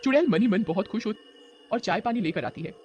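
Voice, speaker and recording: A man's voice: high-pitched, expressive, a little fast, breathy edge, dull audio. A man narrating a horror story as a dramatic storyteller.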